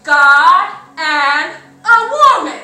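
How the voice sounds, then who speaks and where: A bold, proud older freedwoman delivering a theatrical live monologue. The voice is very high and slow, declaiming with folksy, drawn-out emphasis. It rings through a very echoey hall, far from the microphone. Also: big pitch swings, pushed and bright, soft background music, exaggerated voice. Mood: challenging, emphatic. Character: older formerly enslaved woman orator, bold and proud. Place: live performance in a church hall.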